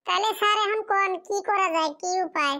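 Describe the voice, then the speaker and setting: A performer acts out a poor orphaned child from a cartoon village. The voice is extremely high and small. The child speaks a rustic Bengali dialect, humble and plaintive. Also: a little fast, sharp tone, full character voice. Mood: puzzled, deferential. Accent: rural Bengali dialect. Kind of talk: amateur cartoon drama dialogue.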